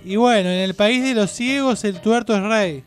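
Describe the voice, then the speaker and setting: High-voiced Argentine man over soft music. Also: normal speed. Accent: Rioplatense Argentine Spanish. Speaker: radio show host. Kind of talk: casual radio chat.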